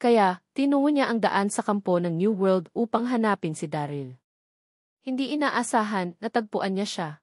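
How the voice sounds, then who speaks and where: A woman's voice: mid-range voice, animated, moderate pace, darkish tone, dry recording, studio quality. A woman reading scripted fiction narration as an audiobook narrator.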